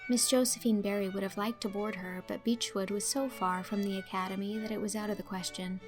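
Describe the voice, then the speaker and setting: A woman reading a literary story as an audiobook narrator, with expressive, clearly phrased storytelling. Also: medium pitch, a little fast, music in the background.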